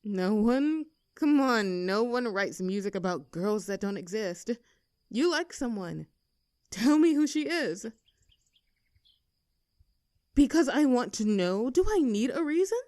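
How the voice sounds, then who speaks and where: Woman playing a high-pitched, bratty rebel schoolgirl. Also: lively intonation, slow pace, pauses often, darkish tone, dry recording, clean studio sound. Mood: curious, prodding. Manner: sarcastic, teasing, brash. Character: rebellious, teasing teenage girl bully, bratty and defiant. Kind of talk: scripted roleplay audio drama.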